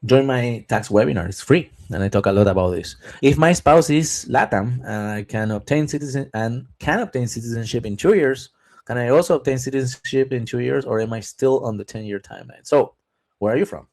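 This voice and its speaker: A man's voice: mid-range voice, brisk, a little mellow. A man hosts a live webinar, speaking in a mid-range voice. He reads each viewer question aloud, then explains the answer in a loose, conversational way. He keeps checking in with his audience as he goes. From Argentina. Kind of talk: live webinar Q&A on visas and taxes.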